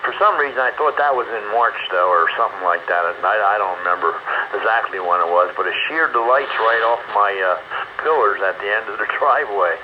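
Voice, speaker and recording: A man's voice: on the low side, expressive, a little fast. A man in casual chat over a two-way radio. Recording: dull radio signal, very loud static.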